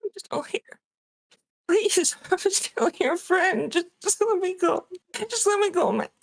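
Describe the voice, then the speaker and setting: A man's voice: very high-pitched, lively intonation, slow, vocal fry, exaggerated voice. A man voicing a terrified woman captive who pleads breathlessly in a screenplay table read. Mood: pleading.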